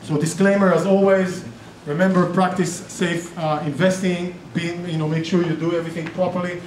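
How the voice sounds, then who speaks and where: A man's voice: high voice, little pitch movement, moderate pace, a little mellow. A middle-aged man with an Israeli accent giving a live seminar talk, chatty, with restarts and joking asides. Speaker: real estate investing presenter and group organizer. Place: meeting room with projector. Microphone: far camera. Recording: roomy echo, distant camera, faint noise.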